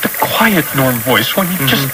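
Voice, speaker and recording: A man's voice: mid-range voice, animated. Radio host guy teasing his guest, voice jumps all over, hissy broadcast. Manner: teasing, quick to laugh. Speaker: radio talk show host. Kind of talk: studio radio banter. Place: radio studio.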